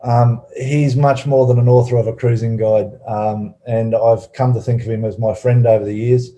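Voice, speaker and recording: A man hosting an online webinar over a video call, relaxed and hesitant with many fillers. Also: medium pitch, normal speed. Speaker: webinar host.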